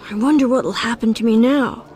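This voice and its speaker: A subdued orphan child voiced by a woman from a fantasy game. The voice is high and plaintive, with simple, unguarded speech. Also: a little fast, darkish tone, lightly acted. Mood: forlorn, uncertain. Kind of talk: video game dialogue acting.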